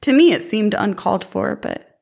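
A woman co-hosting a casual chat, telling stories with a loud, carrying voice and frequent laughter. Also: mid-range voice, moderate pace, gravelly. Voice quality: loud voice that carries. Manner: animated storyteller who laughs often. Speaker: podcast co-host.

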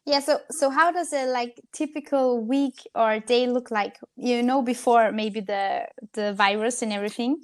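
A high-voiced woman from Austria interviews her guests over a video call. Her questions wander and restart as she works out what she wants to ask. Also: fairly even intonation, moderate pace, few pauses. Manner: hesitant, rambling questions.

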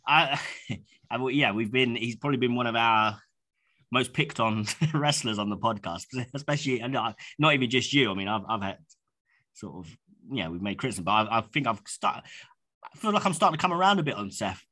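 A man's voice: medium pitch, animated, brisk, pushed and bright. A man co-hosting a casual podcast, stuffed up with a cold, rambling with many restarts. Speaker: podcast co-host.

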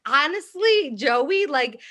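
A woman's voice: high voice, slow. A young woman from South Jersey, a comedian and radio co-host, chatting on a podcast and telling stories with lots of laughter. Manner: laughing, animated storytelling. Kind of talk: casual podcast chat.

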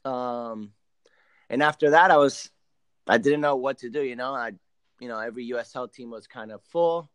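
A man in his late twenties, originally from Argentina with Spanish as his first language, talks casually as a podcast guest. His voice is smooth and clear, at an average pitch, and the sound is close and dry. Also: moderate pace, fairly bright tone, no room sound. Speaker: professional soccer player, podcast guest. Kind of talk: casual interview storytelling.

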